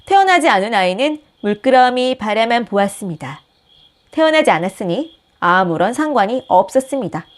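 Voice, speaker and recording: A woman host reading a picture book aloud with gentle, expressive storytelling. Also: a little high, normal speed. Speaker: storybook reader, channel host.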